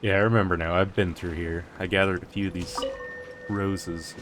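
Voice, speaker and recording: Deep voiced guy quietly muttering along to a game, whispery, music playing behind him. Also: fairly even intonation, normal speed. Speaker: game streamer. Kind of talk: quiet, whispery gameplay commentary.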